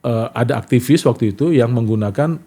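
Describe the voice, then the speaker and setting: A man's voice: mid-range voice, fairly quick, warm tone. A middle-aged man, recounting anecdotes as an interview guest and acting out quoted exchanges in casual conversation. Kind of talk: casual talk show interview, telling anecdotes.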